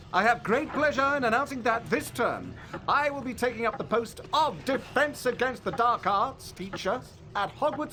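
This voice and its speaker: Vain game wizard, English, pompous, theatrical, wildly swooping pitch, noisy background. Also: male voice, pushed and bright, full character voice. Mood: grandly excited. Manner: pompous, theatrical, self-satisfied. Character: vain, pompous, boastful male celebrity wizard author. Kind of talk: video game cutscene acting.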